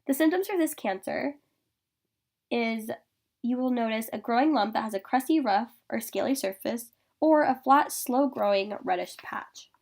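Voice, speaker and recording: Congested young woman with gravelly edge. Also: fairly high pitch, moderate pace, darkish tone. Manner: reading steadily through a list of facts. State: congested from a sinus infection. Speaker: student presenter. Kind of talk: informational class presentation over slides.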